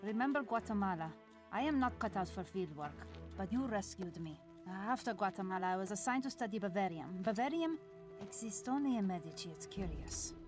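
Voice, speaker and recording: A woman's voice: mid-range voice, lively intonation, brisk, close to an everyday voice. A woman playing a brainy, awkward middle-aged scientist in a video game, speaking in clipped, formal phrases that drop small words. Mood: explanatory. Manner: clipped, formal, article-dropping speech. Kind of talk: video game cutscene acting. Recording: loud music underneath.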